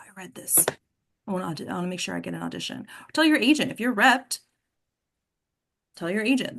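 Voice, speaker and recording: A woman's voice: on the low side, gravelly, fairly bright tone. A woman hosting an informal workshop discussion as an acting coach, instructive and often checking in with the group. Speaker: host and acting coach leading a table read discussion.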